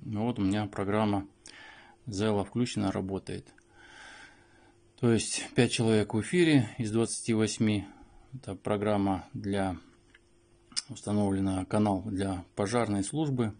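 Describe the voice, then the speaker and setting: A man with a fairly low, husky voice walks through a product in an informal review. His delivery is matter-of-fact and explanatory, like a practical tutorial. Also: steady tone, unhurried, long pauses, a little mellow. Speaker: phone reviewer.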